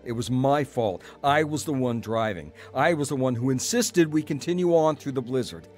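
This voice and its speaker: A man's voice: mid-range voice, lively intonation, moderate pace, darkish tone, soft background music, close to an everyday voice. A man with an American accent acting a proud, cynical, stubborn older brother in a first-person audio drama. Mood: regretful narration. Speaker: actor narrating and playing the lead.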